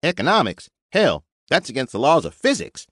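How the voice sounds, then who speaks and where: A man's voice: mid-range voice, huge pitch swings, moderate pace, a bit bright, no echo, studio quality, pitched above the performer's own voice. Man doing a drawling backwoods mechanic. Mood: wry. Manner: drawling rural dialect. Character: folksy bearded male mechanic, blunt.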